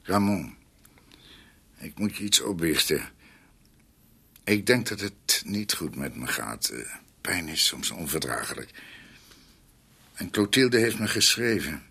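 Radio play: an ailing, needy old doctor. Forceful edge on a husky voice, halting speech. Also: male voice, low-pitched, sing-song, exaggerated voice. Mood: grave, urgent. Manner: halting, hesitant. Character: ailing older male doctor and scholar, proud, needy. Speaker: actor in a radio drama. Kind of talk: radio drama acting.

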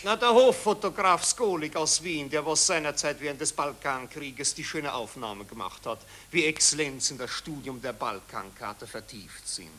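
A man's voice: fairly high pitch, moderate pace, few pauses, sharp tone. An actor playing a deferential Austrian military aide who nudges his superior along, in a 1940s radio play. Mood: prompting. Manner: respectful, nudging. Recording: hissy old 1940s radio recording.

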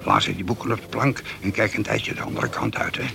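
An actor playing a cheeky, scheming convict who bosses his mates, with a gravelly voice, in a Dutch radio play. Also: fairly low pitch, very animated, a little fast, noisy background, full character voice. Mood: bossy, sly.

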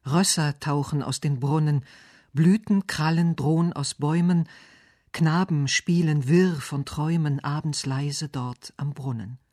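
A man's voice: on the high side, unhurried, a little mellow, no echo, clean studio sound. A man reading a scripted literary radio essay, with poetry and narration.

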